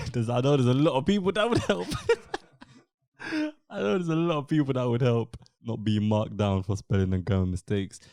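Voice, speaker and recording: Young English male podcast host, dark mellow tone, dry close sound, loose rambling delivery. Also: medium pitch, normal speed, no room sound. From England. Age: young adult. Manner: relaxed, rambling, many fillers. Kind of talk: casual podcast chat.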